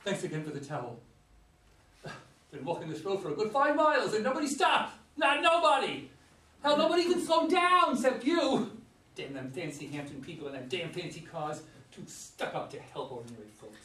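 A volatile drifter fresh out of jail, acted by a man, high and wildly swooping in pitch. He talks loud and folksy with an erratic, menacing edge, as in a play reading picked up from across an echoey room. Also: expressive, normal speed. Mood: aggrieved, folksy. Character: loud, excitable, volatile ex-convict hitchhiker man. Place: meeting room, around a table. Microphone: far camera. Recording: distant mic in echoey room.

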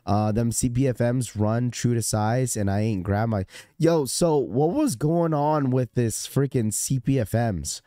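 A young man with a hoarse, slightly cracky, congested voice, casually hosting a live stream and greeting viewers by name with laid-back slang. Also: mid-range voice, a little slow, dry recording, studio quality. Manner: laid-back slangy shout-outs with fillers. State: hoarse, recovering from illness. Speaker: livestream host.

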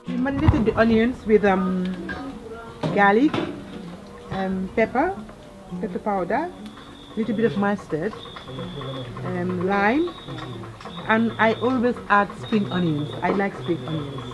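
A woman's voice: mid-range voice, expressive, measured pace, pauses often, highs cut off. Casting: middle-aged Gambian woman, cooking host, explaining things plainly, music underneath. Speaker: host, cook and charity founder. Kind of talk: explaining cooking and village life to camera. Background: background music.

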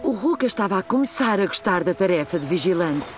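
A woman voicing a gentle storybook narrator for a children's cartoon, with a European Portuguese accent. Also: lowish voice, animated, fast talker, soft background music, natural voice. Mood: gentle storytelling.

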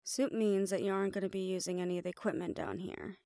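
A woman's voice: medium pitch, fairly even intonation, fast, mellow, no room sound, clean studio sound, lightly acted. A woman playing a tough, loyal assistant to a mafia boss in a casual, familiar audio roleplay. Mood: casual, warm. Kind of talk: intimate audio roleplay acting.

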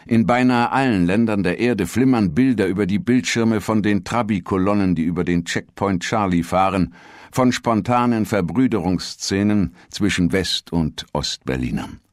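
A man reading a scripted documentary narration in a measured, formal manner. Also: fairly low pitch, dry recording, clean studio sound.

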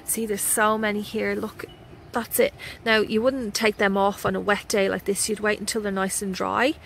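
A woman's voice: mid-range voice, vocal fry, a bit bright, a little hiss or hum, poor audio. A woman with an Australian accent giving a casual, enthusiastic walkthrough of her garden, with lots of ums, recorded outdoors on a handheld phone. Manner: chatty and enthusiastic, frequent ums. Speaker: gardening vlogger. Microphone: handheld phone camera.

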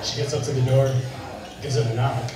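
Deadpan young man doing stand-up. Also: medium pitch, a little fast, heavy background noise. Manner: deadpan, dry delivery. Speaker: stand-up comedian. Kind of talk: stand-up set. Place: bar stage with a live audience. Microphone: handheld mic, filmed from far in the crowd. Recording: echoey bar sound from far off, crowd laughter.

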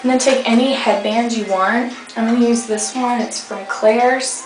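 A young woman with a slightly hoarse voice, chatting casually through a hair tutorial with rambling fillers, recorded on a webcam at home. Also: on the high side, fairly even intonation, moderate pace. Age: young adult. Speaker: beauty vlogger. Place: indoor room at home. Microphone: webcam at arm's length. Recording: echoey room, faint music behind.

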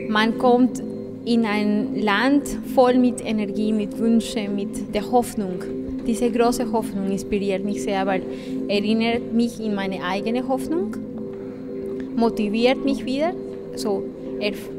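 A woman's voice: medium pitch, takes it slowly, loud music behind the voice. Woman choreographer with non-native German. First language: Spanish. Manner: thoughtful, searching for words in non-native German. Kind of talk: documentary interview. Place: indoor hallway by a window. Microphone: handheld foam-covered mic held close.

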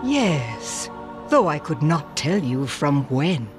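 Enigmatic, haughty young male game character, slow, formal delivery, music underneath. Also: fairly high pitch, big pitch swings. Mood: aloof, cool. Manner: aloof, formal. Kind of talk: video game cutscene acting.

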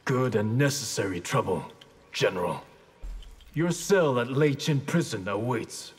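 An actor playing a stern, honorable ninja grandmaster in a video game, speaking in a terse, resolute, formal way. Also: male voice, mid-range voice, animated, a little slow, husky. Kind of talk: video game character acting.

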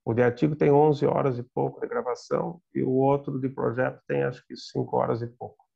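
An older man lectures over a video call in an emphatic, conversational way. His voice is deeply gravelly with a husky edge, and the sound comes through dull and lo-fi. He talks from an office chair in front of a webcam. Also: flat delivery, brisk. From Brazil. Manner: emphatic, conversational, gesturing with hands. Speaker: guest lecturer. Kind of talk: seminar talk on video call.

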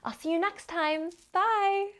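A bubbly woman hosting a children's channel, speaking upbeat and straight to the camera for young viewers. Her voice is high and bright, and clear music plays underneath her. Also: takes it slowly. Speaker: kids' channel host. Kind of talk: cheerful direct-to-camera talk to children. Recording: music playing behind.

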